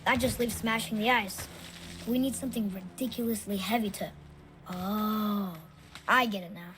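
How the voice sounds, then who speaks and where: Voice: mid-range voice, steady tone, moderate pace, background noise, natural voice. A performer playing a curious, earnest young boy adventurer who asks many questions in a video game. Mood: puzzled, thoughtful. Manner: inquisitive, questioning. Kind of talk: naturalistic video game acting.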